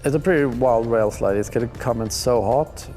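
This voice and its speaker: A man's voice: mid-range voice, normal speed, warm tone, soft background music. A young man from Sweden, speaking, gives laid-back, slangy, enthusiastic commentary while reacting to ski clips. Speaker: pro skier.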